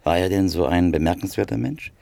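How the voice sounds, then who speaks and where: A man narrating a radio drama, speaking in a reflective and measured way. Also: low-pitched, vocal fry, warm tone, dry recording. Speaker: narrator and listener in a radio play. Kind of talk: 1950s radio drama acting.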